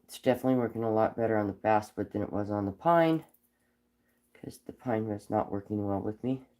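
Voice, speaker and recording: A man with a cold and a raspy voice, chatting casually on a live stream while whittling wood, rambling with frequent ums. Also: on the low side, brisk, a little mellow. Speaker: livestream host whittling wood.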